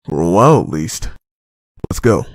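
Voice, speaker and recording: A man's voice: low voice, very animated, moderate pace, no echo. A man playing a determined zombie-apocalypse survivor leader in a dramatic comic dub. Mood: tense, hushed urgency.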